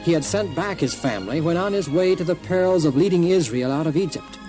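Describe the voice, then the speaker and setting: Man, solemn narrator, stately delivery, slightly high voice, music behind. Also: animated, brisk, dark tone. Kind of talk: solemn scripted storybook narration.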